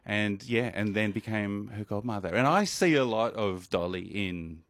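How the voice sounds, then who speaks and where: A man with a low voice chatting playfully on a radio show and sharing music trivia. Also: normal speed, fairly bright tone, dry recording. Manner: chatty, playful, sometimes breaks into song with guitar. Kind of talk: casual radio chat. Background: guitar.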